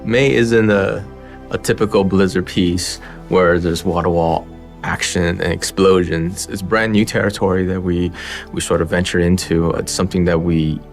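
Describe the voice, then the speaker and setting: A man's voice: low-pitched, steady tone, normal speed, soft background music. A man reflecting on a story in a documentary interview, with small restarts. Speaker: animation director interviewed.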